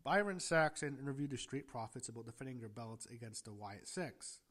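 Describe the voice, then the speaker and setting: A man who is feeling under the weather and whose voice is a little off. He recaps a show in a casual, rambling way, with fillers, self-corrections, gasps and some throat clearing. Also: mid-range voice, lively intonation, a little fast, fairly bright tone. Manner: gasping and clearing throat at times. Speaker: wrestling show reviewer.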